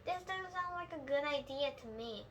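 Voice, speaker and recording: A young female reader voicing a gentle, doubtful old grandfather in a children's storybook, speaking hesitantly. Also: sits high, animated, moderate pace, muffled recording, noisy background. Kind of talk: children's storybook read-aloud.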